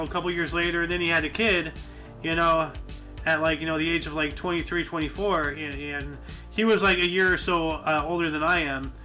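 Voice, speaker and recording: A man in his thirties with a high, bright, ringing voice, chatting casually with friends. He rambles and loops through his stories, leaning on fillers. Faint music plays behind him. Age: 30s. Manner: rambling, many fillers like "you know". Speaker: podcast host. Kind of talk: casual group chat.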